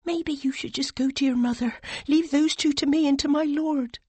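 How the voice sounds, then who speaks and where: Woman voicing an urgent, high-pitched, pleading retainer. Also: steady tone, normal speed, warm tone, no room sound, natural voice. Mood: placating. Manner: urgent, careful, placating. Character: cautious young retainer pleading for calm. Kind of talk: audiobook character acting.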